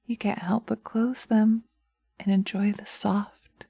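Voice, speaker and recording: A woman's voice: medium pitch, level tone, slow pace, gravelly, warm tone, husky, dry recording, heavily acted. A woman voicing a sweet, seductive witch who soothes and coaxes, partly in whispers, in a hypnosis roleplay audio. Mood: dreamy, lulling. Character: sweet, coaxing, seductive witch, gently teasing.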